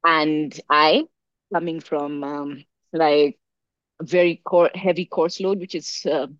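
A woman's voice: low-pitched, slow pace, smooth, no room sound, muffled recording. A young woman from Africa, a podcast guest, talking casually and telling a story with lots of fillers and restarts. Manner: animated storyteller with many fillers. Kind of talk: casual conversation.

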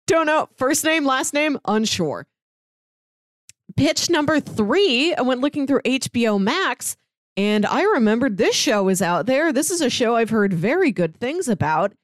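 A woman's voice: sits high, very animated, a little slow, dry recording, studio quality. A woman co-hosting a podcast in casual, explanatory conversation. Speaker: podcast co-host.

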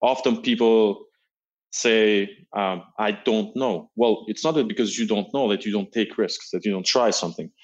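A man's voice: fairly low pitch, moderate pace, dull audio. A middle-aged man from Albania, answering interview questions earnestly and often repeating words as he searches for them. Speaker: guest.

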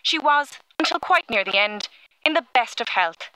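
Bright, formal old-time newspaper reader. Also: female voice, fairly high pitch, lively intonation, normal speed, slight background noise, low-quality recording. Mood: formal, admiring. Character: formal turn-of-century newspaper writer reading an obituary. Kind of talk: dramatised newspaper reading for radio. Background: faint music.

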